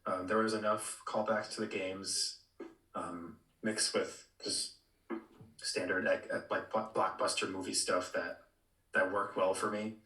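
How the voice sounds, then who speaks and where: A man with a very deep yet bright voice talks casually as a podcast panelist. His delivery is halting, with many fillers, and the room echoes around him. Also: flat delivery.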